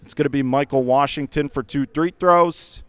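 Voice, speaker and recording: A man calling high school basketball play-by-play for radio, rapid and rising to shouts on big plays. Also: steady tone, clean tone, dry recording. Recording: radio broadcast call, ball bounces behind.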